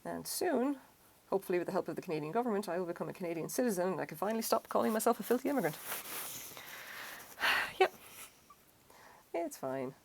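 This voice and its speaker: A woman's voice: medium pitch, big pitch swings, fast, gravelly, pauses often, slight background noise, poor audio. A middle-aged woman with an Irish accent, chatting casually and rambling while she crochets on a live stream. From Ireland. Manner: chatty, self-deprecating, rambling asides.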